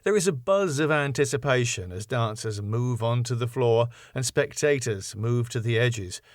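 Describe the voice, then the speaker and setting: A male narrator with a medium-pitched voice reads scene headings and stage directions. The delivery is scripted narration, recorded close and dry. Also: normal speed, dry recording. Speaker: narrator and writer reading his script.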